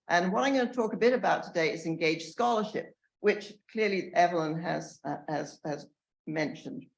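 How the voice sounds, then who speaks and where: An older woman speaking at an academic panel, earnest and loud, with frequent fillers and restarts. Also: fairly low pitch, creaky. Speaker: panel speaker, emerita professor. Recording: echoey room.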